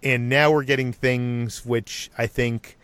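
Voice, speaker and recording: A man's voice: medium pitch, steady tone, slow, fairly bright tone, clean tone, no echo. A man hosting a casual panel discussion, putting points tentatively and conversationally as questions. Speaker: podcast host.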